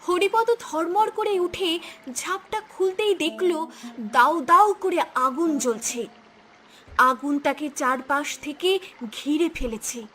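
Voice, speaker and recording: A woman's voice: very high voice, a little slow, bright, forceful tone, a little hiss or hum. A woman narrator reading a scripted cartoon story in a dramatic storytelling style.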